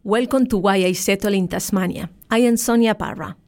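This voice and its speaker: A woman's voice: medium pitch, brisk, music in the background. A woman hosting and interviewing on a community radio programme.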